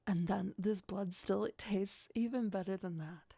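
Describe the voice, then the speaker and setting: A possessive vampire girlfriend, acted by a woman, murmurs in an intimate ASMR roleplay. Her voice is breathy and soft, mostly whispered, and she takes her time. She sounds playful and doting, with a dark devotion, recorded very close and dry. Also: mid-range voice, unhurried, no echo. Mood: delighted, greedy.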